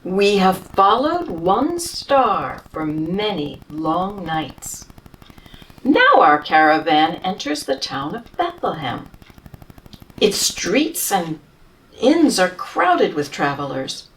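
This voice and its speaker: A woman reading a children's storybook in the voice of a humble, tired male camel who tells his own tale slowly and tenderly. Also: fairly low pitch, recorded from a distance, full character voice, poor audio. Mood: weary. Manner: slow, tender storybook telling with lively rises.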